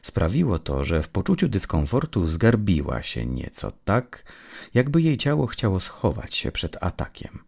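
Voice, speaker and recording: Man reading a dark story, really low voice, lots of rise and fall, measured and suspenseful. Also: huge pitch swings, gravelly, husky. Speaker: storyteller, narrator. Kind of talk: scripted dramatic narration of dark fiction.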